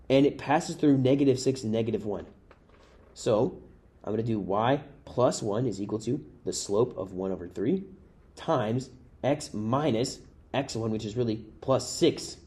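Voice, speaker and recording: Casual math teacher, voice going hoarse. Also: male voice, medium pitch, darkish tone. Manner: casual, chatty, addresses viewers as guys. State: losing his voice. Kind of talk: worked-example exam review.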